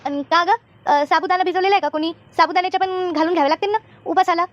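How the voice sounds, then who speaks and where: Lady doing a feisty cartoon village woman, super high, talks crazy fast, sassy, muffled cheap audio. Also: steady tone. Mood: inquiring. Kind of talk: colloquial comedy cartoon dialogue.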